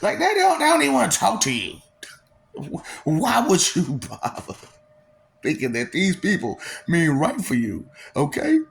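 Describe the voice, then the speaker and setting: A man's voice: mid-range voice, creaky, slight background noise. A man giving a casual, preachy monologue full of rhetorical questions and filler.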